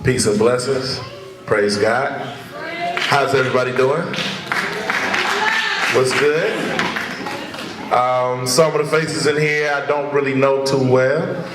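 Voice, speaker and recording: A man's voice: medium pitch, steady tone, takes it slowly, pauses often, heavy background noise. A man from Richmond, California, a community youth worker, speaks casually and conversationally to an audience, with plenty of fillers and asides. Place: large indoor hall with an audience. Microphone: far camera. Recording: echoey hall from far off with crowd.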